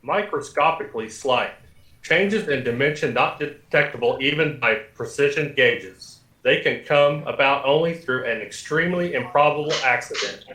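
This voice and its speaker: Man acting a bright, echoey, formal talking computer. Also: medium pitch, expressive. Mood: impersonal, matter-of-fact. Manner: formal, measured, impersonal announcements. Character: calm, precise talking computer, courteous and literal. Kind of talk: 1950s radio play acting. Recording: roomy echo with faint music behind.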